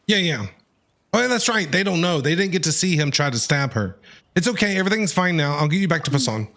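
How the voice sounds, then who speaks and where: A man's voice: a little high, animated, quick pace, fairly bright tone. A man streaming a game, giving casual, slangy, teasing reaction commentary. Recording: game music behind the voice.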